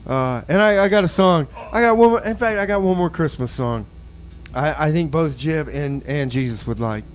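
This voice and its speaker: Lively, animated comedy radio host. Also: male voice, a little high, slight background noise. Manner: animated, quick laughs, talks up the songs.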